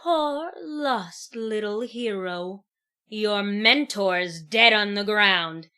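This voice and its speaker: A woman's voice: mid-range voice, very slow, sharp tone, smooth. A woman playing a mocking, grandiose supervillain in an audio drama. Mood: mocking, menacing. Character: taunting theatrical female supervillain in a stage show.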